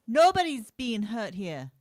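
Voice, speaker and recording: A woman's voice: fairly high pitch, sing-song, a little slow, darkish tone, dry recording. Woman acting an earnest frontier schoolteacher, dry close sound. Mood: firm. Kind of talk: amateur radio play acting of a TV script.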